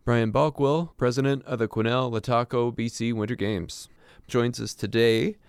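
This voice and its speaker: A man delivers a scripted radio news report in a measured, formal way, then interviews a guest. His voice is smooth and resonant, recorded close and dry in a studio with no room sound. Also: medium pitch, fairly even intonation, dry recording.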